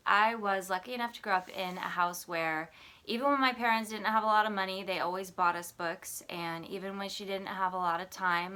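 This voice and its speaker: A woman who hosts read-alouds for children, talking and narrating a storybook in an expressive, deliberate way. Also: medium pitch. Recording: roomy echo with music behind.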